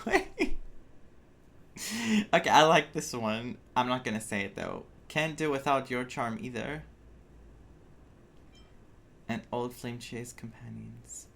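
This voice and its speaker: A man's voice: mid-range voice, creaky, fairly bright tone. A man giving casual playthrough commentary, thinking aloud with joking asides. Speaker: gaming content creator. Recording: game music behind voice.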